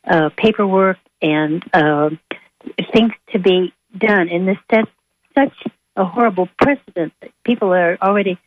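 A woman calling in to a radio show over the phone, speaking off the cuff in a halting, hesitant way. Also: fairly low pitch, no room sound. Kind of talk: unscripted call-in comment. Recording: tinny narrow phone line.

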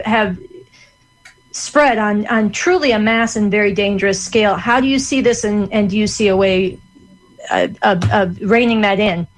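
A woman's voice: on the high side, low-quality recording. A woman interviewer asking a long, thoughtful question, with some restarts as she frames it. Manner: thoughtful, searching phrasing. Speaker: interviewer, host.

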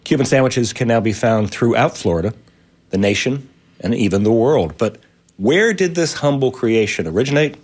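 A man's voice: mid-range voice, expressive, moderate pace, a little mellow. A man in his sixties from Virginia hosting an interview show, reading introductions and putting questions to guests. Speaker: radio show host.

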